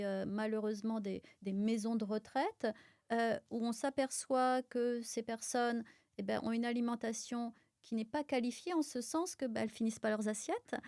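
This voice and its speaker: A woman's voice: a little high, clear, resonant tone, no echo. Woman from auvergne, middle-aged, pharmacist guest, explanatory, filler-heavy, dry studio. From France (Auvergne). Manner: explanatory, lots of fillers, animated. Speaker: guest, pharmacist and micronutrition expert. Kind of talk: casual podcast conversation.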